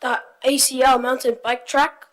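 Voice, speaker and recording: High-voiced young woman presenting. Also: flat delivery, normal speed, a bit bright. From Tonga. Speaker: youth forum presenter. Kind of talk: prepared group presentation, read aloud.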